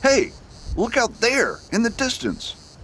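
A man's voice: very high-pitched, huge pitch swings, slow, fairly bright tone, slight background noise, heavily acted, low-quality recording. A man playing a determined US marshal in a radio drama, speaking earnestly and insistently. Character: determined male US marshal, stubborn and dutiful. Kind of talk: amateur radio drama acting.

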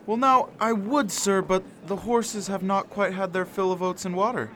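An actor playing a sly, folksy carriage driver in a radio drama, drawling and haggling. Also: male voice, high voice, steady tone, normal speed, fairly bright tone, a little hiss or hum.

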